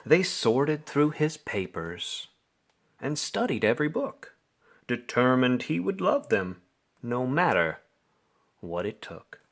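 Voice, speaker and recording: A man reads a bedtime story to children at a very slow pace. His pitch swings in a lively way, and he stretches words out theatrically. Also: vocal fry. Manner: deliberate, theatrical phrasing with drawn-out words. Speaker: storybook reader.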